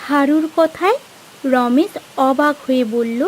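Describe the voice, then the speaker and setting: A woman's voice: sits high, measured pace, slight background noise, low-quality recording. A woman giving scripted narration for a cartoon moral tale.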